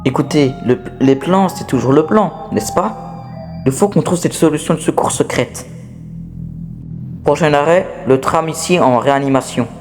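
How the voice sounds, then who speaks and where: A man voicing an anxious, reluctant toy creature with a halting delivery, in an amateur horror game dub. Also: on the high side, music in the background, natural voice. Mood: weary, reassuring. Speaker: amateur voice dubber.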